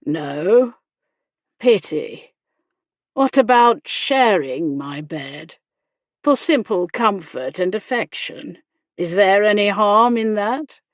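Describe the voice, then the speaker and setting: A woman voicing a sardonic, commanding gentleman with a sarcastic, deliberate manner in a period romance audiobook. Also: mid-range voice, expressive, no room sound, studio quality. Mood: cool, insistent. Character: sardonic, commanding gentleman, injured, coolly provoking.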